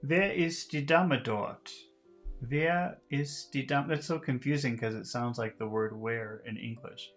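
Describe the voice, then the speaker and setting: A man's voice: medium pitch, a little slow, faint music behind. A man learning a language, repeating drill sentences aloud and muttering asides to himself. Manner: self-correcting, muttering asides.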